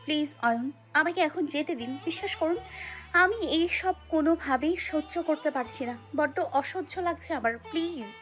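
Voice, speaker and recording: A woman playing a frightened but defiant young bride-to-be in a romantic audio story, pleading in a tearful, halting manner. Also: sits high, a bit bright, faint music behind.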